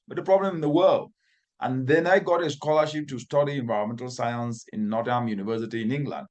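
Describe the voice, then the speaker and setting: A man's voice: mid-range voice, darkish tone. A man from Cameroon with a Cameroonian accent, giving earnest, emphatic interview answers as an environmental expert and building his phrases through repetition. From northwestern Cameroon.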